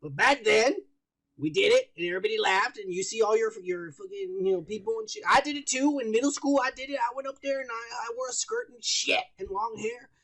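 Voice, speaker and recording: A man co-hosting a podcast, rambling in crude, profane casual chat while high and drinking. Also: brisk, fairly bright tone, poor audio. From United States. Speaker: podcast co-host.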